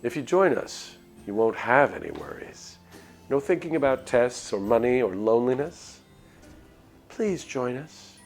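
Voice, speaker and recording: A man voicing a young woman in a visual novel game, a sweetly eerie cult recruiter with a soft, pleading manner. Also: medium pitch, very animated, a little slow, soft background music. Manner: soft, pleading, coaxing delivery.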